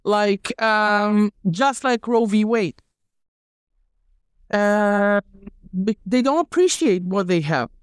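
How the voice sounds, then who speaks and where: Emphatic woman with a clear voice. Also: mid-range voice, animated, takes it slowly, pauses often, no echo, clean studio sound. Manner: emphatic and indignant, with frequent restarts; speaks English as a second language. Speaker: guest. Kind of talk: heated podcast discussion.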